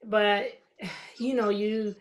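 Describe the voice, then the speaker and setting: A woman with a Dominican accent speaks as a guest in a casual interview, her voice at an ordinary middle pitch for a woman. She talks candidly and thoughtfully, often leaning on "you know". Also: fairly even intonation, extremely slow, rough recording. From Dominican Republic. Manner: candid, reflective, with many "you know" fillers. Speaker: podcast guest, author.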